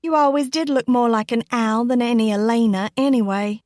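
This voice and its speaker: A woman's voice: fairly high pitch, normal speed, a little mellow, no echo, studio quality, voice pushed up, natural voice. A woman voicing a spiteful, scheming young Southern belle in an audiobook, with emphatic, sneering delivery. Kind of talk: audiobook character acting.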